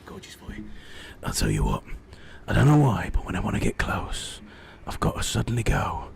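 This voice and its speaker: Northwest English male host, lively sweary banter, noisy feed. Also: lowish voice, moderate pace, creaky. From Widnes, northwest England. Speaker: livestream host. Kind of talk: casual gaming chat. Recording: noisy background.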